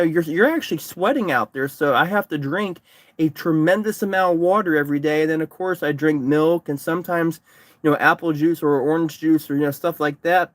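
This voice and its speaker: A man's voice: fairly high pitch, no room sound. Rambling, worn-out man. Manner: rambling, repeats himself, frequent restarts. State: exhausted, sunburned. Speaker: livestreamer, distance swimmer. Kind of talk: casual livestream chat reading comments. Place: parked car.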